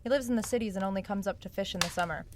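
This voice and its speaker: A woman's voice: mid-range voice, rapid-fire, noisy background, lightly acted. A woman playing a gutsy, sardonic young northern woman on the run in an audio drama, with a blunt, wry delivery. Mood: needling, quieter. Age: young adult.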